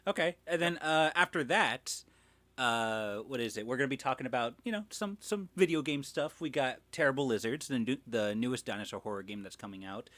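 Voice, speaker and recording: A man's voice: medium pitch, brisk, fairly bright tone, no echo. A man hosting a livestream in casual chat, rambling and self-deprecating, with some swearing. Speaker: livestream host.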